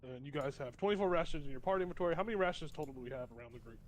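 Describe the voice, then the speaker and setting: A man's voice: a little high, racing. Sniffly young male game master. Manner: relaxed, explains rules step by step with fillers. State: sniffly, coming down with a cold. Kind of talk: running a tabletop game.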